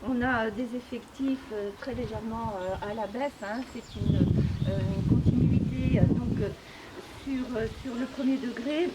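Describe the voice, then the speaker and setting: A woman with a high voice speaks in an unscripted official briefing. Her phrasing is formal and halting, with frequent hesitation, and there is clear noise on the recording. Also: fairly even intonation. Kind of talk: spoken press briefing, unscripted. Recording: clearly noisy on-location recording.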